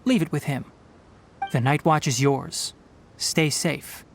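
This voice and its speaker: A man voicing a calm, scholarly young male forest ranger in a video game, speaking in an articulate, earnest, gently instructive way. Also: a little high, slow, gravelly, slight background noise. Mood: gentle, dry. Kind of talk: video game character dialogue. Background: background music.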